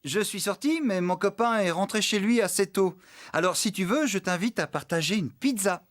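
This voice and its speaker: A man's voice: sits high, moderate pace, lightly acted. A man playing an easygoing, friendly farmhand in a children's cartoon, with a good-natured manner.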